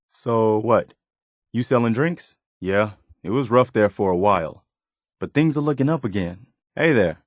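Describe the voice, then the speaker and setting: A male actor playing an earnest, weary militia officer and loyal companion in a video game, speaking in a measured, conversational way. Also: mid-range voice, no room sound, clean studio sound, lightly acted. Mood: relaxed, friendly, then upbeat, casual.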